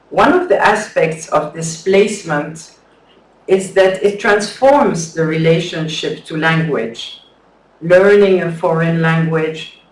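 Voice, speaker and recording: Careful academic man reading a paper at a slow pace, faint room noise behind. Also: fairly high pitch. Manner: measured, careful, with some hesitant restarts. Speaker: conference speaker. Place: lecture hall. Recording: echoey hall, distant mic.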